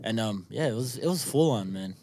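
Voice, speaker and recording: A man's voice: on the low side, lively intonation, a little fast, noisy background. A young man born in New Zealand and raised in California, chatting loosely as a podcast guest, dropping "bro" and "man" a lot. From born in New Zealand, grew up in California. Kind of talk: casual chat.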